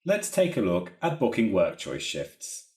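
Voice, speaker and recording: A man narrating a software training walkthrough in a methodical, explanatory way. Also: fairly low pitch, huge pitch swings, unhurried. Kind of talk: instructional screen-recording narration. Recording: roomy, echoey voice recording.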